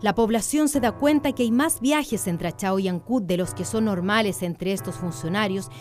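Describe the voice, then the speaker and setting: A Chilean woman narrates a radio play from a script. Her voice sits at a middle pitch, with music playing softly behind it. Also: moderate pace.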